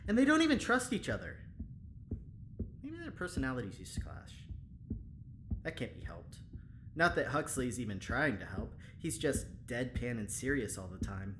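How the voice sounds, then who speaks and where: An actor playing a talkative, well-meaning prison guard in an audio drama, chatty and coaxing in manner. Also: male voice, mid-range voice, close to an everyday voice. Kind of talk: audio drama acting, spoken log. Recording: clearly noisy, ambient background.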